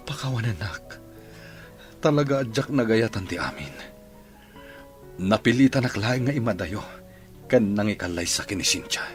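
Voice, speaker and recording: A man voices a remorseful husband in a radio play, his low voice swinging widely as he pleads earnestly. Soft music plays faintly underneath. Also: lively intonation, normal speed, long pauses, natural voice. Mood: pleading. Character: remorseful husband begging forgiveness. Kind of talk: radio drama acting.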